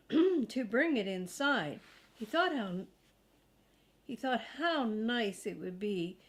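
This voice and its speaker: An older woman reading a children's storybook aloud as a grandmother, expressively and with casual asides. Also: fairly high pitch.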